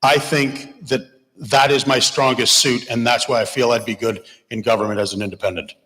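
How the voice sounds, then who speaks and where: A man's voice: medium pitch, fairly even intonation, moderate pace, background noise. A man running as an independent candidate, answering an audience question passionately and plainly. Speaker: election candidate. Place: church hall. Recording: long reverb of a hall.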